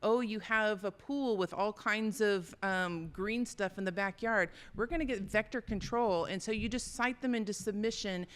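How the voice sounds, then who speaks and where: A woman's voice: mid-range voice, normal speed, hardly any pauses. A middle-aged woman from Los Angeles, a retired police detective telling stories in a casual interview, acting out quoted voices as she goes. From Los Angeles, California. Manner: animated storyteller, acts out quoted voices.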